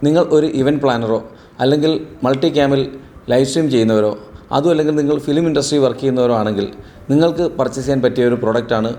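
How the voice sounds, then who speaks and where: A man's voice: mid-range voice, racing, warm tone. A man presents a product review, explaining and rephrasing as he demonstrates. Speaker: product reviewer for a camera store. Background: background music.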